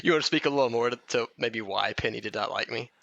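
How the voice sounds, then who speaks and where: A man's voice: mid-range voice, fast talker, vocal fry, bright, forceful tone, highs cut off. An American man co-hosting a comedy podcast, joking casually in a giggly, self-deprecating way. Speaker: podcast co-host.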